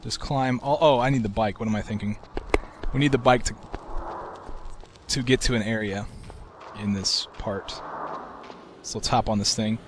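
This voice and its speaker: A man's voice: fairly low pitch, normal speed, long pauses, background noise. Laid-back man narrating a game walkthrough. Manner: relaxed, soft-spoken, self-described soothing voice. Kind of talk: casual gameplay commentary.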